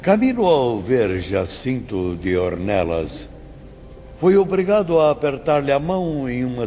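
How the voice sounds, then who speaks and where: A man's voice: mid-range voice, warm tone, slight background noise. A man voicing a solemn, didactic teacher who presents a sad past to apprentices in a dramatized audiobook. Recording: dull lo-fi audio, long reverb, music. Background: music.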